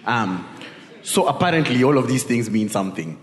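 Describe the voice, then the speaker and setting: A man's voice: mid-range voice, very animated, moderate pace. Kenyan man preaching in an echoey hall. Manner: informal, peppered with tag questions and Swahili asides. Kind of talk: conversational sermon with personal storytelling. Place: large reverberant indoor hall. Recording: echoey hall with long reverb.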